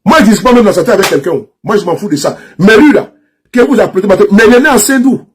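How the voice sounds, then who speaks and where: A man's voice: sits high, lively intonation, quick pace, slight background noise. A man from Ivory Coast with an Ivorian French accent, talking straight to his audience in an insistent, mocking, repetitive way, often restarting his sentences. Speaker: social media commentator. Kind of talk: direct-to-camera political rant.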